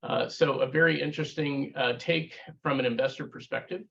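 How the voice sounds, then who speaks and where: A man with a gravelly voice hosts a webinar, halting and full of uh fillers. He sounds far from the mic over dull, muffled video call audio. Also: medium pitch, fairly even intonation, a bit bright. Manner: hesitant, with many uh fillers. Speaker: event host. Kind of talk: hosting a webinar.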